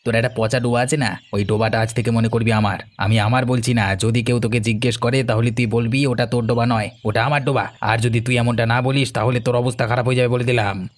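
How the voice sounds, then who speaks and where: A man's voice: medium pitch, flat delivery, fast, natural voice. A man voicing a bullying, menacing village scoundrel in a cartoon story. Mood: threatening. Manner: commanding, menacing. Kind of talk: Bengali cartoon story dialogue.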